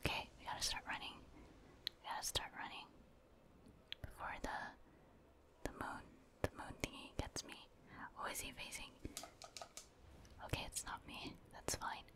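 A woman whispers casual ASMR commentary over a video game, rambling and thinking aloud in a breathy, unvoiced voice. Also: normal speed, pauses often. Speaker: gaming ASMR video host.

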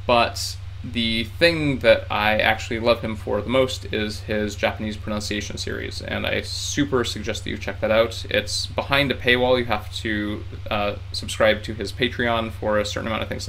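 A man's voice: fairly low pitch, gravelly, sharp tone, low-quality recording. A man in his thirties chatting casually to camera in a small room, rambling with lots of fillers and restarts. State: tired from heat. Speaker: vlogger learning Japanese.

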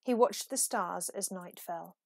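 A woman reading a children's picture book aloud as an expressive, animated storyteller. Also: fairly low pitch, a little slow, gravelly, no echo, studio quality. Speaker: storybook reader.

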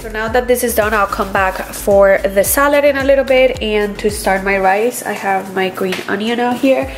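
A young woman and mother chatting casually to the camera in her home kitchen, relaxed and a little rambling. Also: medium pitch, moderate pace, soft background music. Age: young adult. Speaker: vlogger, mom.

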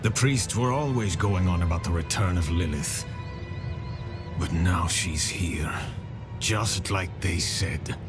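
Game soldier: gruff but friendly, burdened by guilt, deep register, slow and downbeat. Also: male voice, over loud music. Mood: resigned. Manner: wry, downbeat, understated. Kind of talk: dramatic game dialogue.

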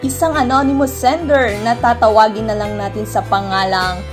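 A woman's voice: on the high side, steady tone, brisk, music in the background. A woman with a Filipino accent hosting as a radio DJ, reading a scripted show intro. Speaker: radio DJ host.

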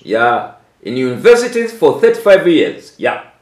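A Tanzanian man in his seventies with a Tanzanian accent, a preacher speaking deliberately, phrase by phrase, often repeating himself. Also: mid-range voice, big pitch swings, a little mellow. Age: 70s. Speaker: archbishop. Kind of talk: religious address given phrase by phrase for an interpreter. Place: small tiled room indoors. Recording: echoey room.

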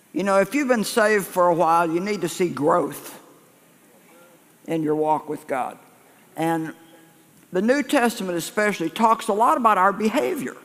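A man's voice: sits high, a bit bright. An older man preaching a sermon to a live congregation in a folksy, conversational way, often restarting phrases and repeating points. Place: large church sanctuary. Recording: echoey church hall.

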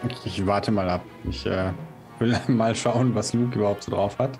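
A man with a low voice plays a tabletop roleplaying game. He states his moves casually, with music clearly audible behind him. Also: fairly even intonation, moderate pace, darkish tone. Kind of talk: casual game talk, stating his character's actions. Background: background music.

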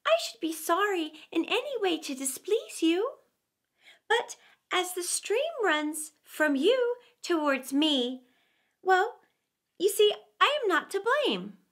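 A woman's voice: very high-pitched, big pitch swings, takes it slowly, fairly bright tone, pitched above the performer's own voice, heavily acted. A woman voicing a meek, innocent young female lamb, pleading politely in a children's fable.

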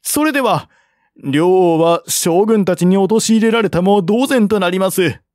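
A man's voice: high-pitched, lively intonation, unhurried, darkish tone, no room sound, clean studio sound, pitched above the performer's own voice. A man voicing a humble, earnest envoy in formal courtly speech for a historical audiobook. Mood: deferential, pleading. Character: deferential male envoy, courtly and earnestly persuasive. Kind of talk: audiobook reading of historical novel dialogue.

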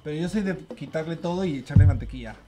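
A man's voice: a little high, fast. Young peruvian guy on radio, casual banter, studio mic, music in the background. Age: young adult. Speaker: radio co-host. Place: small radio studio, indoors. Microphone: studio microphone on boom arm.

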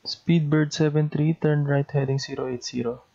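A man's voice: fairly high pitch, steady tone, normal speed, vocal fry, dark tone. A man with a Filipino accent speaking in the clipped, procedural style of an air traffic control demonstration, on a dull lo-fi recording.

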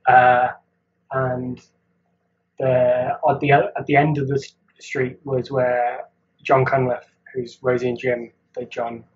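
A man's voice: medium pitch, flat delivery, slow pace, highs cut off. A man from Wales, chatting as a guest artist over a video call, rambling and hesitant with frequent laughs. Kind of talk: casual chat.

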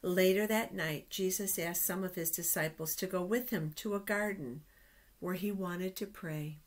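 A middle-aged woman hosting a children's storytime, reading aloud with deliberate, expressive phrasing and pauses. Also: on the low side. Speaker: children's storytime host at a church. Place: small indoor office.

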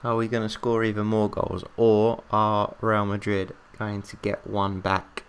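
Excitable English man commentating on a game. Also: lowish voice, measured pace, clear, resonant tone. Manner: excitable, rambling, talks to himself. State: losing his voice, coughing. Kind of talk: casual commentary of a football management game.

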